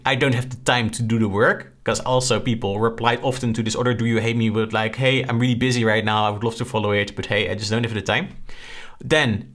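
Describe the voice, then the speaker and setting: A man's voice: medium pitch, fairly bright tone. A young man with a Dutch accent, chatting casually and upbeat through a screen-share tutorial, often tagging "right?" onto his points. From Netherlands. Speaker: online course creator.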